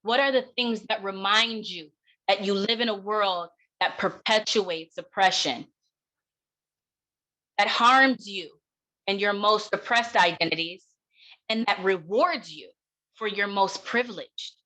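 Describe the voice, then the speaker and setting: Bright, forceful woman giving a keynote. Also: mid-range voice, rough recording. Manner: deliberate, emphatic, dramatic pauses. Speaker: keynote speaker, counseling clinician. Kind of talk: keynote speech.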